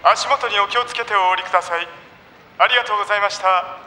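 A man's voice: sits high, fast, pushed and bright. A man playing a polite amusement park ride attendant, making formal announcements in an anime audio drama. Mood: polite, official. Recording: reverberant announcement, noisy ride ambience.

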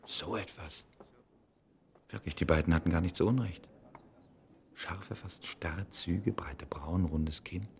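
A man acting a troubled, brooding scholar's inner monologue in a radio play, with a gravelly voice. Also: sits low, slow pace, pauses often, slight background noise. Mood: intrigued, musing. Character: troubled, brooding male scholar absorbed in his thoughts.

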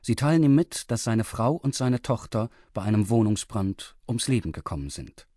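Mellow, steady male narrator. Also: on the low side, normal speed, breathy edge, no echo, studio quality. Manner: steady, even reading. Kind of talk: scripted narration recapping a radio drama.

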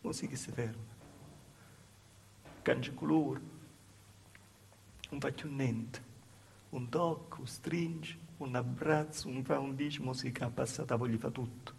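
Guy acting as plaintive young calabrian village woman, gravelly kinda high voice, halting stage monologue, bit of noise on it. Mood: hushed, troubled. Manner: halting, repetitive, dropping to hushed asides. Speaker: stage actor performing a monologue. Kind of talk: dialect theatre monologue, storytelling.

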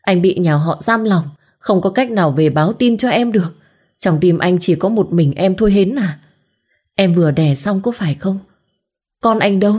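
A woman's voice: mid-range voice, moderate pace, a little mellow, breathy edge, lightly acted. A woman voicing a young village man who speaks earnestly and protectively to the woman he loves. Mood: tender. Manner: earnest, pleading.

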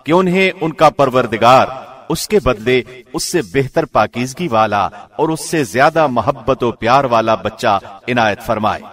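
A man reading a scripture translation in a formal, measured radio presenter's delivery. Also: medium pitch, animated, a bit bright. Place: studio.

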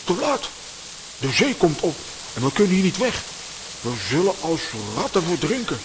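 High-voiced, excitable bumbling cartoon hero. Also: male voice, moderate pace, noisy background. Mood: alarmed. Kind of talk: children's audio play acting.

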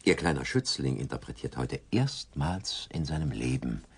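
A man acting in a German radio drama as a sinister, casually menacing figure, speaking in a deliberate, insinuating way with a deep gravelly voice. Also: very animated, full character voice.

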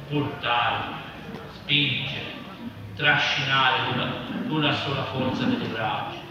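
A man reads literary text aloud at a slow, deliberate pace, breaking it into short phrases. His voice sits far from the microphone in a very reverberant space, with clear background noise. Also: medium pitch. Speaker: reader at a public literary reading. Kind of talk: dramatic reading of literary prose poetry aloud. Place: live reading at a festival venue. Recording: echoey noisy hall, distant mic.

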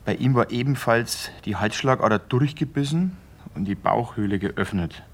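A man's voice: medium pitch, animated, normal speed. A man acting in a radio play as a matter-of-fact, direct police investigator. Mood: grim reporting. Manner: factual, direct.

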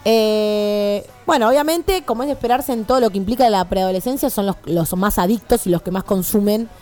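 Argentine woman on a radio show, chatty and explaining stuff, normal pitch, bit of music under her. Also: a little fast, a little mellow. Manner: colloquial, explanatory, often seeking agreement. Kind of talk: casual radio chat, presenting a topic. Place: radio studio. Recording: music playing behind the voice.

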